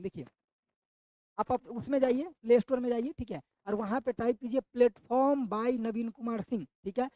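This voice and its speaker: A man's voice: very high-pitched, mellow, poor audio. A man with a sore throat teaches an online exam-prep class, repeating each question back to his students.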